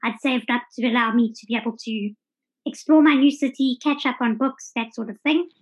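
A woman's voice: on the high side, fairly even intonation, a little fast, slightly rough, lo-fi sound. A South African woman in her thirties giving articulate, orderly answers as a guest in a conversational interview. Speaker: podcast guest.

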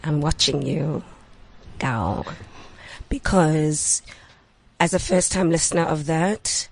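A woman's voice: low voice, slow pace, vocal fry. A woman co-hosting a radio show in casual studio chat.

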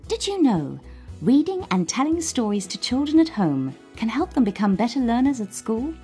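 A woman's voice: mid-range voice, mellow. A woman announcer reading a scripted outro for a children's story programme.

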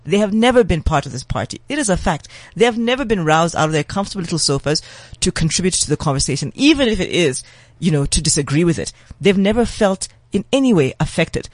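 A man speaks as a talk show guest, making his case with force and emphasis and sometimes rushing ahead in quick bursts. His voice sits rather high for a man, and the recording is close and dry. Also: sing-song, dry recording. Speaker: guest commentator. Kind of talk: impassioned opinion in a talk show.

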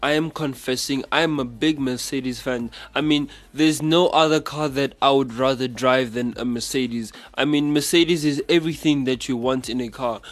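A man's voice: medium pitch, moderate pace, hardly any pauses. A man from South Africa hosting a casual radio chat show as a DJ. He talks in a chatty, rambling way and second-guesses himself mid-sentence. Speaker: radio DJ host.

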